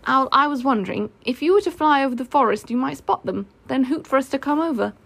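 A woman's voice: sits high, animated, fast talker, gravelly, fairly bright tone, voice pushed up, full character voice. A woman voicing a polite, sensible, kindly young boy in a storybook, earnest and well-mannered.

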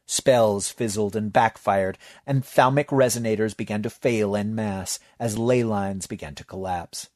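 A man reading fiction aloud as narrator, with expressive storytelling. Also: lowish voice, measured pace, few pauses, dry recording, clean studio sound.

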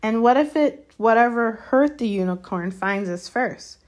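Storybook rich schoolboy, played by a woman: haughty, cowardly, whining protests, raised pitch. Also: animated, unhurried, a little mellow. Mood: fearful. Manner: protesting, anxious, entitled. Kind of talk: audiobook character voice.

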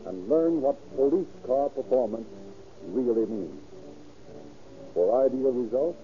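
A man announcing and narrating a scripted 1930s radio program in a formal, declamatory way. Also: mid-range voice. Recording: dull, lo-fi old radio recording.

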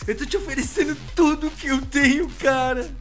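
A man's voice: very high voice, steady tone, moderate pace, fairly bright tone, soft background music. A man playing a terrified, desperate victim in an audio drama, pleading and bargaining frantically for his life with coarse language. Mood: frantic bargaining. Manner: pleading, frantic, foul-mouthed.

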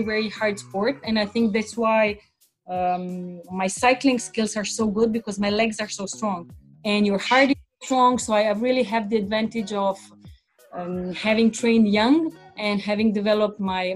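A woman from Croatia, a guest on an interview podcast, talking casually with many fillers and restarts. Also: mid-range voice.

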